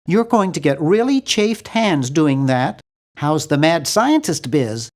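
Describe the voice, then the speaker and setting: A man voicing a nerdy, earnest and anxious young hero in a video game, with a fussy, animated delivery. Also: fairly high pitch, slow. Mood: worried, pleading. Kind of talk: video game character voice lines.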